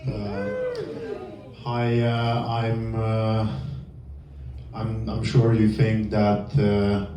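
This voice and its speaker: Romanian man doing deadpan stand-up. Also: fairly low pitch, distant mic, crowd noise. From northern Romania. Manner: halting, filler-heavy deadpan delivery. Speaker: stand-up comedian. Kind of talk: stand-up comedy set. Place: small comedy club stage. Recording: echoey room, heard from afar, dull sound. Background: audience laughter.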